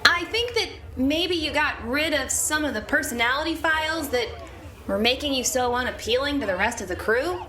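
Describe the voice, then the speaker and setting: A woman's voice: sits high, moderate pace, bright, forceful tone, slight background noise. A woman role-playing a frank, encouraging female crewmate in a tabletop sci-fi game, blunt but reassuring. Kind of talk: improvised tabletop role-play.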